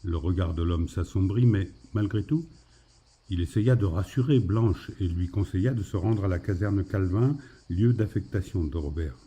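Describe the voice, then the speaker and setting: A man's voice: sits low, moderate pace, mellow, husky. A man narrating a literary story from a script. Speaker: narrator of a fiction.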